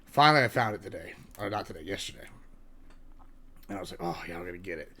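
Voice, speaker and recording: A young woman hosting a casual podcast chat, loud and profane, calling people "bro". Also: rumbling low, lively intonation, fast talker. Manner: loud, swearing, says "bro" a lot. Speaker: podcast host.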